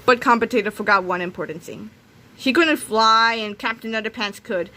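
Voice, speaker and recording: A woman reading a children's comic aloud in an animated, dramatic, expressive way, occasionally stumbling and re-reading. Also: a little high, normal speed, a little hiss or hum, low-quality recording. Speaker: read-aloud narrator.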